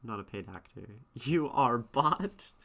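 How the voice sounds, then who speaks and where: A woman's voice: very deep voice, lively intonation, slow pace, a bit bright. A woman streamer chatting casually, reading and answering her chat in a thoughtful, rambling way with frequent restarts. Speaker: streamer and poetry critic.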